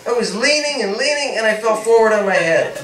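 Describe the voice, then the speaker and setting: A playful, lovestruck young man who teases and dreams aloud, read by a man. The pitch leaps lively and sits a bit high, and it is heard from a distance in an echoey room. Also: a little fast, heavy background noise. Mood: rapturous. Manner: teasing, dreamy. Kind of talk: read-aloud story dialogue.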